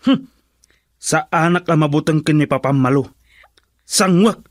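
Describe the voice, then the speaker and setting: A man's voice: a little high, measured pace, no echo, studio quality, natural voice. A man playing a stubborn young husband in a melodramatic radio drama, insistent and defiant.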